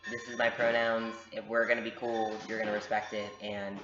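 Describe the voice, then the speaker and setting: A man's voice: on the low side, monotone, moderate pace, music in the background. Frank young man, roomy dull recording. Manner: frank, direct, many fillers. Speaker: vlogger answering viewer questions. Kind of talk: casual Q&A vlog. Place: home room with a pet bird. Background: bird noises.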